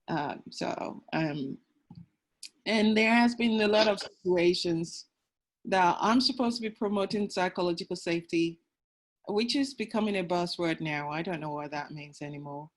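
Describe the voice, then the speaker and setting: A woman with a naturally loud voice, speaking frankly as a panelist over a video call, with many hesitant fillers. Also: lowish voice, normal speed. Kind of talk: panel discussion.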